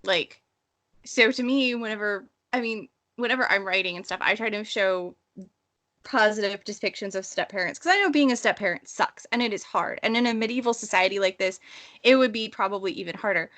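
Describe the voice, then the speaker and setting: A woman's voice: mid-range voice, brisk, fairly bright tone. A woman in her thirties co-hosting a casual book discussion, speaking in an emphatic, exasperated way. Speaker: podcast co-host; romance writer.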